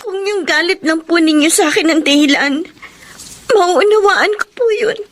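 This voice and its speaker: Very high-pitched hurt young Filipina daughter. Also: female voice, moderate pace. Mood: hurt, questioning. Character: hurt, pleading young daughter, emotional and respectful. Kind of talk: melodramatic Filipino radio drama acting.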